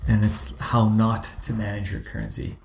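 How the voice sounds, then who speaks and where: A man's voice: fairly low pitch, flat delivery, normal speed, gravelly, darkish tone, roomy sound, a little hiss or hum. A man from Zimbabwe, a guest in an interview, talking casually and often restarting his sentences. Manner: hesitant, many restarts and fillers.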